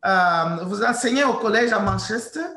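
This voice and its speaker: A woman hosting an interview, introducing her guest in a slow, hesitant way and slipping into English now and then. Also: medium pitch, a bit bright, reverberant. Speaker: interview host.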